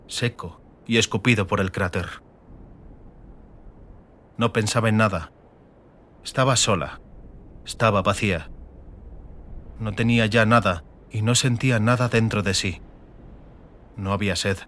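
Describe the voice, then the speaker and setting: A man narrating a fiction audiobook dramatically, in solemn, measured short phrases. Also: fairly low pitch, fairly bright tone.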